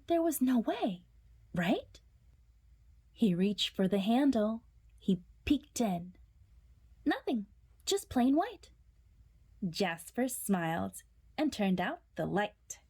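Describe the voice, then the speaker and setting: Female children's storyteller: slow, highly animated picture-book read-aloud, dramatic and suspenseful. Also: slightly rough. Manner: dramatic, suspenseful, with hushed whispered words.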